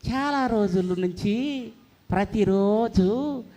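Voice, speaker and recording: A woman's voice: medium pitch, slow pace, dark tone. A woman voicing an eager, food-loving little boy in a children's puppet show, with a childlike manner. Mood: pleading.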